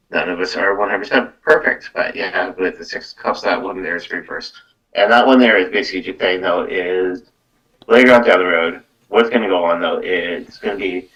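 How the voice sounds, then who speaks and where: Deep-voiced man, distant from the mic. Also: fairly bright tone, dull audio. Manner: rambling, repeats words and tags "though" onto phrases. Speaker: psychic medium host giving live card readings. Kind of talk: casual live-stream talk and tarot reading. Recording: distant from the microphone.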